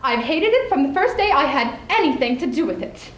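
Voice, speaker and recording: A young woman performing a stage monologue as a spirited, scheming widow, speaking emphatically with deliberate theatrical pauses. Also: sits high, very animated. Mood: indignant, spirited. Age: young adult. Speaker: student speech team performer. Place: indoor school showcase stage. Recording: echoey room, clearly audible background noise.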